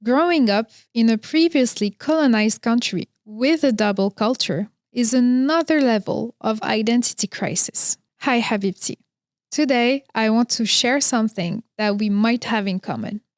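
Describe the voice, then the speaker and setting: A woman in her thirties from Morocco, hosting a podcast and telling her own story in a slow, reflective, earnest monologue. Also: on the high side, no room sound, studio quality. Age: 30s. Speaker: podcast host. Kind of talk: scripted personal monologue.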